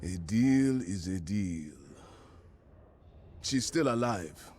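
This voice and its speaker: A man's voice: lowish voice, animated, drawn-out words, darkish tone, soft background music. A man voicing a shrewd, stern commander in a fantasy game, with a formal, authoritative manner. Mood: dry, measured. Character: stern, shrewd male commander of a threatened city.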